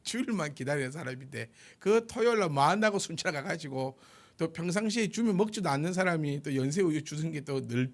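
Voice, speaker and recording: A man's voice: a little high, vocal fry. A man in his forties, a pastor, giving a chatty, informal sermon with a self-deprecating manner. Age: 40s. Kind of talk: sermon, conversational and anecdotal.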